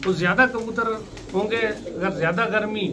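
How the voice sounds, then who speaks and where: A man's voice: high voice, normal speed. A man with a Pakistani Urdu accent, a pigeon keeper giving plain, instructive advice in a casual interview, often repeating his points.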